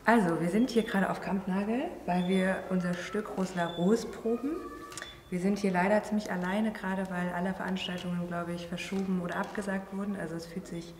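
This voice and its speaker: A thirty-year-old woman explains her work in a casual interview, halting now and then to search for words. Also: fairly low pitch, fairly even intonation. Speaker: performer and choreographer interviewee. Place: large empty theatre venue. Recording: echoey hall, distant mic, faint noise.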